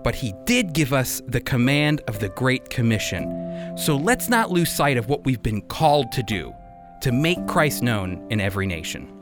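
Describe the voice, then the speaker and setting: A man's voice: mid-range voice, sing-song, moderate pace, music in the background. An American man hosting and interviewing on a radio program, speaking as a presenter. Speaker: radio show host.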